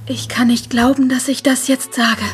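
A woman's voice: high-pitched, flat delivery, moderate pace, close to an everyday voice. An actress playing a tender, earnest female cartoon character who speaks in a heartfelt way. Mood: heartfelt, moved. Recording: loud music with guitar behind.

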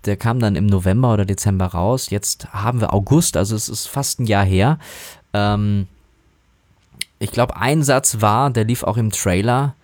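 A man who works as a dubbing actor, talking casually and thoughtfully about his craft with many pauses and restarts. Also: a little mellow, no echo. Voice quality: relatively high voice. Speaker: voice actor guest. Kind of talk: casual interview talk.

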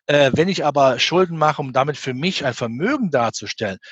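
Male interview guest from the Rhineland: slightly high, rough-edged voice, emphatic, argumentative delivery. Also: a bit bright, no room sound, muffled recording. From Rhineland, western Germany near the Belgian and Dutch border. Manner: emphatic, argumentative, full of rhetorical questions. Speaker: interview guest commenting on economics and finance. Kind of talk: animated spoken opinion in an interview.

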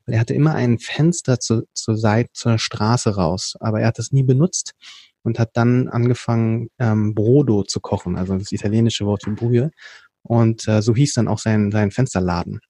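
A man from Berlin with a rather low, warm, mellow voice talks in a relaxed interview, explaining things at length. He often hesitates with fillers and starts sentences over. The sound is dry and close. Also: moderate pace, no room sound. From Berlin, Germany. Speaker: podcast guest, company co-founder. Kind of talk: casual conversational storytelling.

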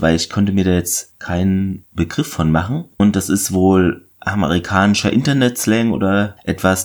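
A man's voice: sits low, a little mellow. A man hosting a podcast, recapping a TV episode in a casual, rambling way while stuffed up with a cold. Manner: chatty, rambling, self-correcting. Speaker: podcast host.

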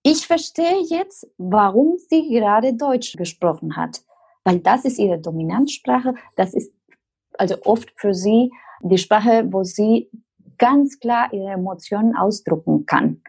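A woman whose first language is Spanish, speaking German with a Spanish accent and explaining slowly, with many fillers and restarts. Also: medium pitch, lively intonation, creaky, darkish tone, husky. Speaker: guest. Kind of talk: interview answer.

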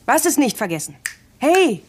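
A woman's voice: sits high, sing-song, moderate pace, a little mellow, slight background noise. An actress playing an edgy, impatient woman among friends in a naturalistic audio drama. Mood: agitated, demanding.

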